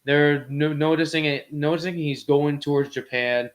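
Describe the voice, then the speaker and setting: A man's voice: on the high side, fairly even intonation, normal speed. A man co-hosting a casual movie chat, rambling informally and often searching for his words. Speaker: podcast co-host.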